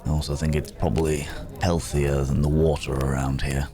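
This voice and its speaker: A man's voice: very deep voice, flat delivery, warm tone. A man playing a weary, philosophical werewolf on the run, musing hesitantly in an intimate ASMR roleplay. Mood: wry, relieved. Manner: musing, hesitant, speaking in unhurried broken phrases. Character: weary, philosophical male werewolf, a hunted wandering companion.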